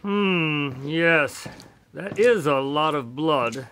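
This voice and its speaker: A man's voice: fairly high pitch, lively intonation, drawn-out words, a bit bright, slight background noise, exaggerated voice. An actor playing an earnest, uneasy male doctor, the questioning and slightly fussy sidekick in a radio detective drama. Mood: thoughtful.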